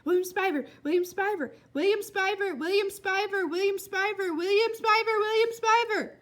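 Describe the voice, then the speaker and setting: Woman acting frantic young girl, high and urgent. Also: moderate pace. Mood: desperate calling. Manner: urgent, loud, insistent calling. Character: frantic, exasperated young girl calling for help.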